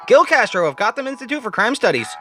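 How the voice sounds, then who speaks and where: Man doing a brisk, high-pitched radio newsreader. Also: sing-song, music in the background. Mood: brisk, serious. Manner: announcer-like, formal. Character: brisk, formal male radio news broadcaster.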